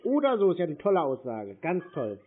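A man's voice: fairly high pitch, wildly swooping pitch, fairly quick, slightly rough. A man in a confrontational phone exchange, blunt, insistent and sarcastic. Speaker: prankster answering scam calls. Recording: tinny narrowband phone line.

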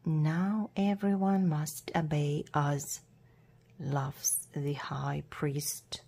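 A woman narrates a children's storybook at an extremely slow, deliberate pace. Her voice is fairly low and mellow, with a husky grain to it. Phrases often trail off into a hush. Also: flat delivery. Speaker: storybook reader.

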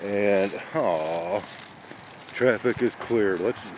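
A man's voice: on the low side, very slow. A man talking casually while he walks small-town streets outdoors in the snow, with rambling, folksy exclamations into a handheld phone. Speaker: vlogger on a weather walk. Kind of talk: casual walking commentary. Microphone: handheld phone camera. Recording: wind noise on the mic.

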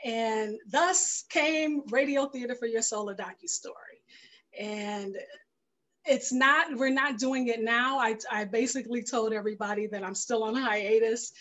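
Higher-voiced middle-aged woman telling her story. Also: unhurried, fairly bright tone. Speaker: guest filmmaker. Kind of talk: casual storytelling in an interview. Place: indoor room at home, video call. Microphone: headset on a video call.

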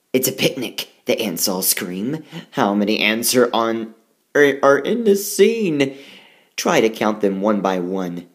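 A male teacher reading a rhyming picture book aloud and walking through the math, recorded as a tablet screencast voice-over. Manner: slow, sing-song rhyming read-aloud with lively pitch.

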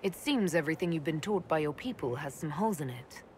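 A woman's voice: low voice, animated, quick pace, slight background noise. A woman playing a modest, composed human leader in a fantasy video game, speaking in a plain, level-headed way. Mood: composed, questioning. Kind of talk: video game dialogue acting.

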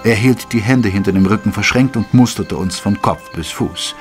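A man playing an earnest gentleman companion who narrates his adventure in an audio drama, in measured literary storytelling. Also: fairly low pitch, natural voice. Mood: tense, astonished. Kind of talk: scripted first-person audio drama narration. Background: music.

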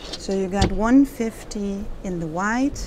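A woman exhibitor walks a visitor through a compact caravan, explaining each feature plainly. Her phrasing is simple and a little non-native, her pitch mid-range. A steady trade fair noise is clearly heard behind her. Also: slow pace. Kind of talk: product demonstration.

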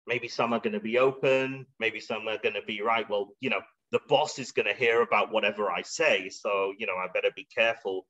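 A man's voice: on the low side, steady tone, a little fast, few pauses, a bit bright. A middle-aged man giving an informal explanatory webinar talk, conversational and a little hesitant as he thinks aloud. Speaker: webinar presenter. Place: indoor room. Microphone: video call. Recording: dull, band-limited video call audio.

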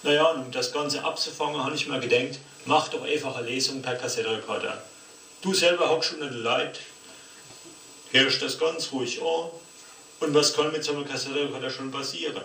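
Male slam performer, bright voice, chatty comic monologue off a cassette, faint noise. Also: mid-range voice, fairly quick. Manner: colloquial, rambling, with tag questions. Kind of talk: comic spoken-word monologue, played from a cassette recorder. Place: cassette playback in a cinema hall, picked up from the audience. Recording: distant, echoey, faintly noisy cassette playback in hall.

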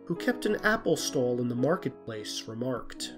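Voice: mid-range voice, big pitch swings, moderate pace. A narrator reading a scripted storybook tale. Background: plucked string music.

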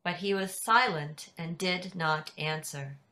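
An older woman reading scripture narration in a measured, deliberate way over a video call. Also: on the low side, pushed and bright. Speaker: narrator in a church dramatic reading. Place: indoors at home on a video call. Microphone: video call computer microphone.

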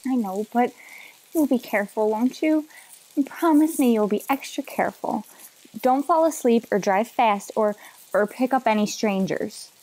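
A woman's voice: a little high, moderate pace, a little mellow, natural voice, poor audio. A woman playing a loving, worried mother of a grown son in an old-time radio drama, hesitant and pleading. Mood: worried, tender.

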